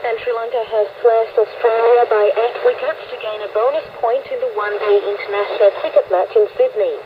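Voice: medium pitch, steady tone, fairly quick. A radio newsreader delivering a scripted news bulletin. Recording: noisy shortwave radio, narrow phone-like band.

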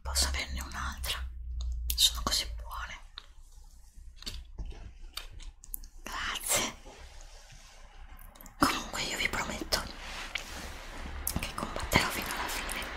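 A woman playing an earnest, bewildered chosen heroine in an ASMR roleplay, breathy and questioning, close to the microphone. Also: a little slow, long pauses, sharp tone. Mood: earnest, resolute. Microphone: close desktop USB microphone.

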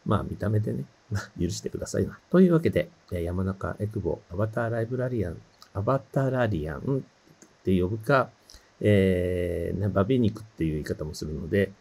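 A man's voice: low voice, expressive, a little fast, creaky, darkish tone, breathy edge. A middle-aged man giving a casual, polite and friendly self-introduction to camera, speaking a little hesitantly as a presenter. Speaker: avatar librarian presenter.

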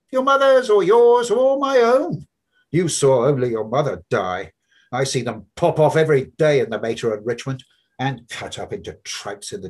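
A man voicing a brash, mocking young male medical student in a loud, high, husky voice.

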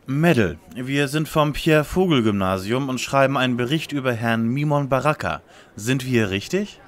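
A man's voice: mid-range voice, expressive, normal speed, close to an everyday voice. A man playing a smug, precocious schoolboy detective, pompous and over-polite, in a parody audio play.

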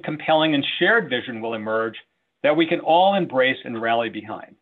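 Older man, muffled video-call sound. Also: medium pitch. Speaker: institute director. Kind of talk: prepared presentation to a council meeting. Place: small office, indoors, on a video call. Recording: muffled, band-limited video call audio.

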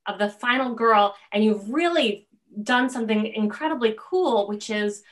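A middle-aged woman hosting a casual interview over video call, thinking aloud in a halting way. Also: fairly high pitch, fairly bright tone. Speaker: interviewer and host.

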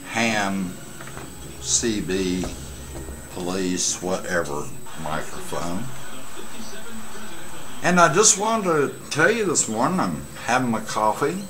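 A man in his late fifties chatting casually and haltingly to a webcam at home, with rambling asides. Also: fairly low pitch. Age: late 50s. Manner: halting, pausing delivery with asides. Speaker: amateur vlogger and CB radio hobbyist. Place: small cluttered room at home. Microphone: webcam setup at desk distance.

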